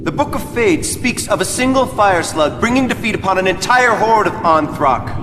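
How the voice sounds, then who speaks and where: Casting: sly, formal younger son of a game tyrant, lively inflection, noisy reverberant space. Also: male voice, sits high, normal speed. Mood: sly, assured. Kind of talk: theatrical video game cutscene acting. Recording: long reverb, clear background noise.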